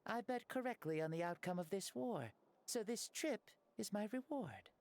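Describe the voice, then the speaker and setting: An actor playing a genial ancient man returned from death, telling his tale gently in game cutscene dialogue. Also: high-pitched. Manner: gentle, lively, measured storytelling. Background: soft game music.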